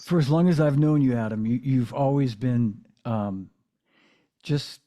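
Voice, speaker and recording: A man hosting a casual interview, speaking in halting phrases with many restarts and affirmations. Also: mid-range voice, warm tone. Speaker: podcast host and drummer.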